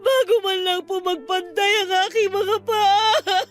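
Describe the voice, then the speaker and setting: High-pitched, anguished Filipino mother. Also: fairly even intonation. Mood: tearful pleading. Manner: emotional, pleading, drawn-out delivery. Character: weeping, remorseful mother reunited with her long-lost son. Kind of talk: Filipino radio drama acting. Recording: music bed under the voice.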